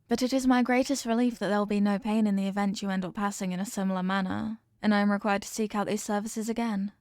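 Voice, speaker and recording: A woman's voice: mid-range voice, steady tone, fast talker, a little mellow, dry recording, studio quality, natural voice. A woman voicing an obsessively loving evil queen in a roleplay audio drama, speaking formally and measuredly with imperious, veiled menace. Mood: solemn devotion. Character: possessive yandere evil queen, regal, obsessively in love. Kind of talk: scripted roleplay monologue, ASMR audio drama.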